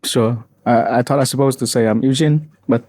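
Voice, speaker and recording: A man in his thirties from Vietnam with a Vietnamese accent, talking earnestly on a podcast with a halting flow and many fillers. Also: medium pitch, fairly even intonation, a little mellow. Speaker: podcast guest, founder. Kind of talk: casual podcast chat.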